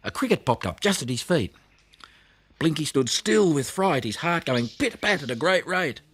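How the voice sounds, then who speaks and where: A man narrating a children's audiobook in an expressive storytelling manner. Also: fairly high pitch, normal speed, slightly rough.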